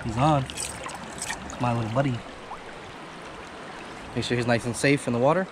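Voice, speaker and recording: Young man vlogging casually over rushing water. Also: mid-range voice. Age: young adult. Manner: laid-back, slangy, addresses viewers as guys. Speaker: vlog host, hobby angler. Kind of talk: casual outdoor vlog chat. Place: outdoors on a rocky riverbank. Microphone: action camera at arm's length or body-held. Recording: clearly noisy outdoor recording, rushing river. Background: rushing stream water.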